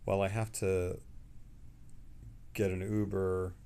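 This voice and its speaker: A middle-aged man who sounds tired, chatting casually on a livestream in a rambling, halting way and trailing off mid-sentence. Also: deep voice, flat delivery, vocal fry, mellow, clear, resonant tone.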